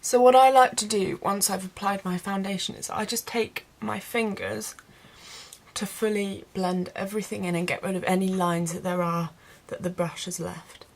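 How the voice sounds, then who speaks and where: A woman's voice: mid-range voice, fairly even intonation, moderate pace, vocal fry, darkish tone. A woman chatting casually as a beauty vlogger, explaining things step by step to her viewers in an informal tutorial style. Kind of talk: casual makeup tutorial chat.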